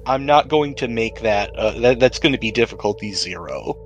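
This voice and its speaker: A man's voice: medium pitch, animated, normal speed, rough recording. Man running a tabletop game over music. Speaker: game master. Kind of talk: narrating a tabletop roleplaying game, conversational.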